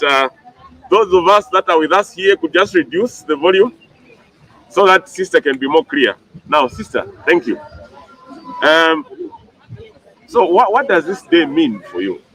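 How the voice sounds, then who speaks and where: A man's voice: on the high side, unhurried, low-quality recording. A man interviewing a new sister outdoors for a live stream, talking with many fillers and restarts. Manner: hesitant, filler-heavy, animated. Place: outdoors at a celebration.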